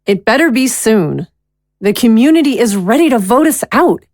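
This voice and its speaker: A woman's voice: a little high, expressive, normal speed, a little mellow, dry recording, clean studio sound. An actress playing a bossy, impulsive, childish wife in her thirties in an audio drama, with a demanding, emphatic delivery. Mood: insistent.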